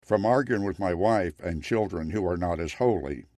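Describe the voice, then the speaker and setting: A man voicing a weary, wise Zen temple master in a story, matter-of-fact and deliberate. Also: deep voice, warm tone, no echo, lightly acted. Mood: weary, candid. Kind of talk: storytelling character quote.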